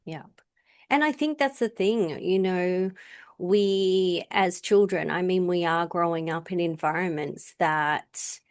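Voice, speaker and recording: Slow, gentle-voiced woman hosting. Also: lowish voice. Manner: supportive, with frequent affirmations and soft "like" fillers. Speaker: podcast host. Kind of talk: empathetic interview conversation.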